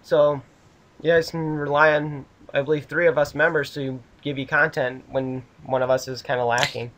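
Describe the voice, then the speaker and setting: A man's voice: on the high side, little pitch movement, normal speed, a bit bright, slight background noise, rough recording. A man hosting a casual gaming video, rambling and upbeat as he tours a build with a friend. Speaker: channel host.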